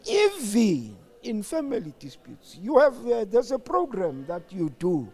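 Slow, high-voiced older South African man. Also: huge pitch swings, darkish tone, slightly rough. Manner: deliberate, halting, emphatic. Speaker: interview guest. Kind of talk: reflective political discussion.

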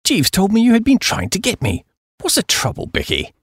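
Male actor's affable idle gentleman, upper-class English accent, breezy, slangy, swooping intonation, dry close sound. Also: high-pitched, wildly swooping pitch, fairly quick, husky, no echo, pitched above the performer's own voice, full character voice.

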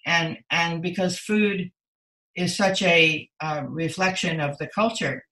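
A woman's voice: fairly low pitch, steady tone, slow pace, pushed and bright. An older woman giving reflective, earnest answers as a guest in a conversational interview, repeating words for emphasis.

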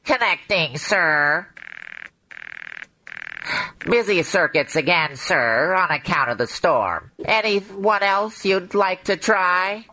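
Radio play role: officious switchboard operator, low, sharp voice, formal and clipped. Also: animated, slow pace, full character voice, poor audio. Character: brisk, formal 1950s telephone operator. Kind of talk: old-time radio drama acting.

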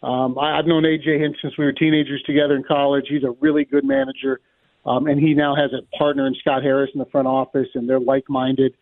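A man's voice: medium pitch, fast talker. Middle-aged man on a tinny phone line. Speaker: baseball play-by-play announcer, radio guest. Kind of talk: unscripted sports analysis in a live radio interview. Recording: roomy, thin narrow-band phone line.

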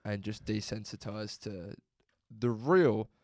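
A man's voice: on the low side, slow, a little mellow, no room sound, studio quality. A young man, co-hosting a podcast in a laid-back, swearing, joking way. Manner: laid-back, swearing, laughs at his own jokes. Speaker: podcast co-host. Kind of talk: casual chat.

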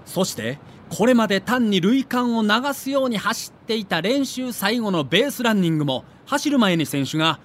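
A man's voice: high voice, animated, normal speed, hardly any pauses. A man giving dramatic scripted narration for an audio documentary.

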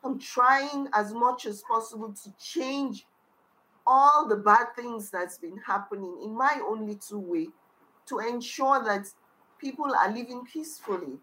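A woman's voice: on the high side, a little slow, bright, forceful tone, slight background noise, poor audio. A woman with a Nigerian accent, the founder of a charity, answering interview questions in a heartfelt way and often repeating phrases.

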